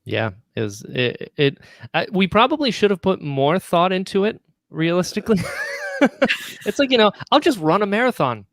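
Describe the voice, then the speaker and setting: Animated, jokey man, close dry sound. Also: high voice, normal speed, no echo. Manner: jokey, self-deprecating. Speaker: podcaster and audio drama writer, guest. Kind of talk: casual chat.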